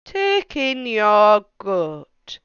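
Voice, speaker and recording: A calm storybook wise old man, put on by a woman reader, speaking very slowly and deliberately. The words are stretched out and clearly projected. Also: mid-range voice, no echo, dull audio, exaggerated voice. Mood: calm, advising. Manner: measured, drawn-out instructions.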